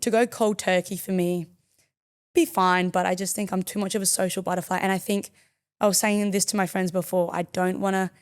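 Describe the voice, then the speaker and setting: A young woman born in the United States, chatting as a guest on a podcast in an upbeat, easygoing way and laughing often. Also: fairly low pitch. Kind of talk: casual interview chat. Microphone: studio podcast mic.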